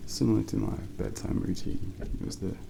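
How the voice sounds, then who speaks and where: ASMR taxi driver role: male, low and gravelly yet hushed, reassuring slow delivery over clear rainy car ambience. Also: fairly even intonation, noisy background. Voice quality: soft, breathy, often near-whispered. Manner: gentle, unhurried, reassuring. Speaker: ASMR role-play performer as late-night taxi driver. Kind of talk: soft-spoken ASMR role play. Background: rain and car sounds.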